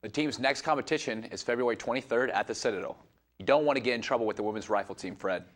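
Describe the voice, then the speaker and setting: A man reporter reading a quick, scripted news intro. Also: mid-range voice.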